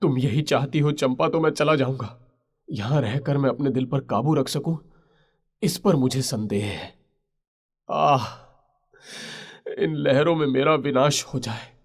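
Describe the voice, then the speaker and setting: An actor playing a proud, lovelorn mariner chief in an audio story, impassioned and pleading with halting pauses. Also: male voice, mid-range voice. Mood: wounded resignation. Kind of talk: Hindi literary audio story drama.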